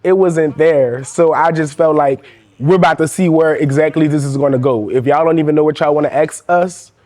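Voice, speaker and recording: A man's voice: fairly high pitch, fairly even intonation, a little fast, darkish tone. Reflective New man. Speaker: reality show cast member. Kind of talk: confessional recounting.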